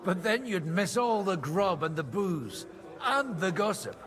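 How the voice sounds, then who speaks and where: An actor playing a shrewd, worldly priest in a medieval video game, wry and persuasive in manner. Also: male voice, high-pitched, big pitch swings, unhurried, fairly bright tone, soft background music, heavily acted. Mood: wry, gossipy. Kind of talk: dramatic video game voice acting.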